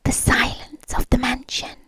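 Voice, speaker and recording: A woman with a British accent voicing a possessive, obsessive rich young girlfriend. She speaks in a soft, breathy whisper, slow, intimate and menacingly sweet, close to the microphone. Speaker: ASMR roleplay performer. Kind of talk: ASMR roleplay.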